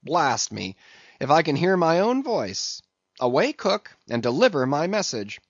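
A man's voice: fairly high pitch, sing-song, measured pace, no echo, pitched above the performer's own voice, exaggerated voice. A man voicing a brash, bossy sea officer who barks orders at the cook, on a dull lo-fi recording. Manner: shouting orders, brusque.

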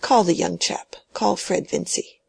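A woman's voice: fairly low pitch, unhurried, vocal fry, slightly rough, no room sound, exaggerated voice. A woman voicing a stubborn, agitated dying old man whose voice shakes as he pleads and commands, in a storybook reading.

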